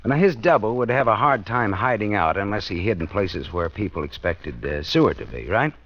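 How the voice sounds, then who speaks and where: A man's voice: lowish voice, animated, moderate pace. An actor playing a wry, wisecracking American private detective who narrates his own case in 1940s radio noir style. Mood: probing, casual. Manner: wisecracking, colloquial, dramatic. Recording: dull old radio recording with music.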